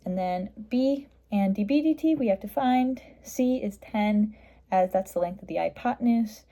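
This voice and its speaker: Female tutor for math walkthrough: middle-pitched, somewhat mellow voice, matter-of-fact step-by-step delivery. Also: normal speed. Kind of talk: step-by-step explanation of a worked math problem.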